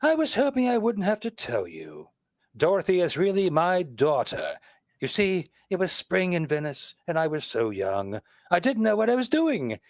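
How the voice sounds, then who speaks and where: An actor playing a suave, wisecracking married detective, breezy and teasing, in a 1930s radio style. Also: male voice, sits high, wildly swooping pitch, normal speed, dry recording, clean studio sound, exaggerated voice. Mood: wry, teasing.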